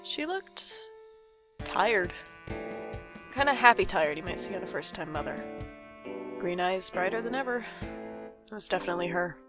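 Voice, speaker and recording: A woman's voice: fairly low pitch, expressive, normal speed, pauses often, over music, lightly acted. A woman playing an anxious, sardonic older sibling in an audio drama, with a dry, wry, self-deprecating way of talking. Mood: wry, uneasy. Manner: dry, wry, theatrical sarcasm. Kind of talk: audio drama acting with first-person narration.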